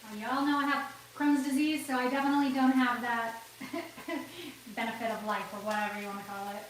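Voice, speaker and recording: A woman's voice: fairly high pitch, level tone, brisk. Chatty woman cooking in an echoey kitchen. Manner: chatty, rambling, laughs often, sniffles. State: runny nose, sniffling. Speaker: cooking livestream host. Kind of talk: casual cooking livestream chat. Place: home kitchen. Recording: echoey kitchen, far from mic, background noise.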